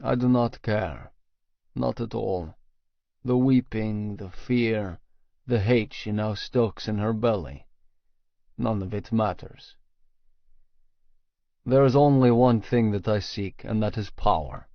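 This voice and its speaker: A man's voice: fairly low pitch, takes it slowly, creaky, pauses often, no echo, muffled recording. Male audiobook narrator, dry studio sound. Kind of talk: scripted first-person fiction narration.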